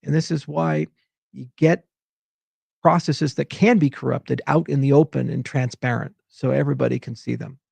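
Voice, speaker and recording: A man's voice: mid-range voice, fairly even intonation, moderate pace, creaky, darkish tone, husky, no room sound. A man from Hawaii delivering an exasperated, rambling unscripted monologue as a tech founder giving commentary.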